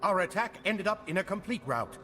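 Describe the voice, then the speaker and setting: A dutiful Imperial officer in a space opera audio drama. His high, forceful voice is brisk and very animated, ringing with long reverb. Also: a little hiss or hum. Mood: formal, reporting. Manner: brisk military delivery. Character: alert, dutiful male Imperial military officer.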